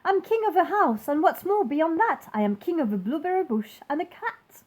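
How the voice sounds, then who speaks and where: Woman putting on a booming, boastful turtle king, high-pitched. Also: very animated, normal speed, darkish tone. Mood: gloating, boastful. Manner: booming, bellowing, imperious, boastful.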